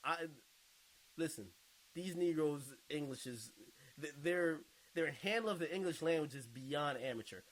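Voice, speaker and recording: Casting: man for casual reaction videos, higher-than-average voice, very animated delivery, slangy and bluntly derisive. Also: measured pace, poor audio. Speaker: video commentator reading viewer comments.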